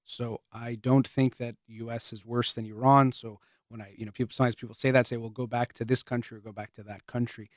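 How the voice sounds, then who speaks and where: A man from the United States giving an earnest, measured spoken monologue, often pausing and restarting his phrases, while losing his voice. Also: mid-range voice, level tone, no echo, studio quality.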